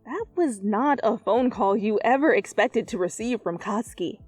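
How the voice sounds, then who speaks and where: A woman's voice: a little high, lively intonation, normal speed, a little mellow, dry recording. Woman reading a story over music. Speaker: fan fiction reader. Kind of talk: dramatic storytelling narration, ASMR fan fiction reading.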